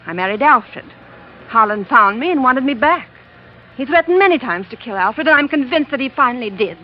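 An actress playing an anxious, secretive widow in 1940s radio melodrama, earnest and given to dramatic pauses. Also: female voice, high-pitched, lively intonation. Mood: fearful. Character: anxious, secretive new widow confiding in a sea captain. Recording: dull, faintly noisy old radio recording.

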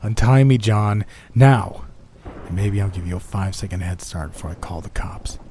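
A man's voice: low voice, level tone, brisk, a little hiss or hum, natural voice. A man voicing a vile, sneering old diner owner who mocks and belittles. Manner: mocking, belittling, loud.

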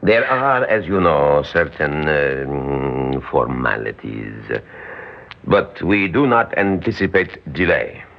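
An actor in an old-time radio drama playing a calculating male conspirator who speaks in a measured, formal, deliberate way. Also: a bass voice, sing-song, vocal fry, heavily acted. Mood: flattering, measured. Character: smooth-talking, calculating male conspirator, secretly an examining official. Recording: dull, muffled old radio recording.

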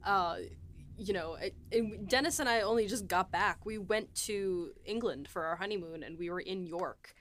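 A woman's voice: medium pitch, normal speed, a bit bright. A woman podcast host talking in a casual, conversational style. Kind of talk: casual chat.

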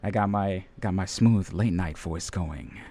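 A man who is a radio DJ and audio editor, chatting casually in a radio interview, relaxed and rambling with many fillers. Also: low-pitched, measured pace.

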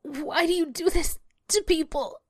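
Woman voicing a high-pitched, frightened teenage girl. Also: lively intonation, a little slow, no room sound, clean studio sound. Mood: defiant. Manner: pleading, urgent. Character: defiant, frightened fourteen-year-old girl in a horror story.

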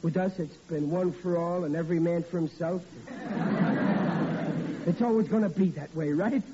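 A man's voice: a little high, normal speed, over a noisy crowd, very noisy, natural voice. An actor playing a bumbling, bluffing father who stammers through his excuses, in 1940s radio acting style, on an old lo-fi recording. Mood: blustering. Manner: stammering, bluffing comic bluster. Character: blustering bumbling father Riley.

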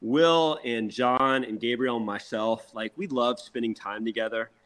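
Guy from a rock band doing an interview, talks himself up, emphatic, medium pitched voice. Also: fairly even intonation, measured pace, fairly bright tone. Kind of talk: casual interview talk.

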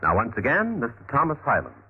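A male announcer in 1950s radio style. His delivery is formal and emphatic, with big melodic swings and a rough edge. The sound is narrow and muffled. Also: medium pitch, wildly swooping pitch, fast talker. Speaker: show announcer. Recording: muffled vintage radio recording.

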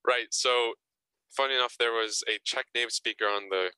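Hesitant young man with a bright voice. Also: mid-range voice, fairly even intonation, normal speed, smooth, dry recording, rough recording. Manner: hesitant, repeats words, frequent fillers. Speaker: actor guest. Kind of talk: casual interview answers.

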